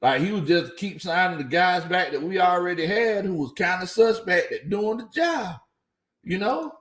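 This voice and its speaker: A man's voice: sits high, animated, moderate pace, fairly bright tone. A man hosting a sports livestream, reading viewer chats in a casual way and laughing often. His voice sounds a little worn out. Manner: laughs often, chuckling through his lines. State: voice slightly worn out. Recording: echoey room.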